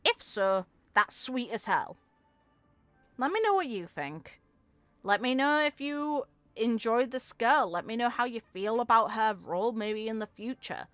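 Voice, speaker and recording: A woman's voice: on the high side, lively intonation, a little slow, a bit bright, dry recording, faint music behind. A woman giving casual, informal reaction commentary as a game content creator, musing aloud as she goes.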